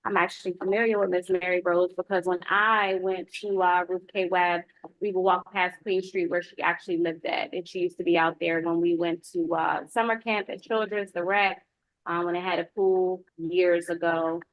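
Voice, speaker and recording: Casting: woman, police lieutenant reporting to a community meeting, lively intonation on a slightly low voice, dry sound. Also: moderate pace, no echo, dull audio. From United States. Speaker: police lieutenant giving a public safety report. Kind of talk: informal spoken report at a public meeting.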